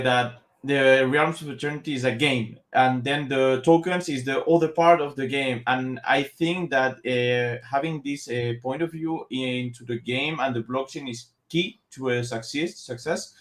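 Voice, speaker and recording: A man from Spain speaking English. He hosts an online community Q&A over a video call, pausing often on drawn-out uhs as he lines up his questions. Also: mid-range voice, fairly even intonation, fairly bright tone. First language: Spanish. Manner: hesitant, frequent filler uhs. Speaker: community host. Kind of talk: hosting an online Q&A.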